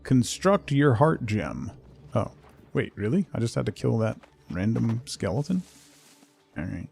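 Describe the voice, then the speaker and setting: A man's voice: mid-range voice, very animated, unhurried, gravelly, a little mellow, breathy edge. A man giving casual solo commentary as a game streamer, musing and thinking aloud to himself.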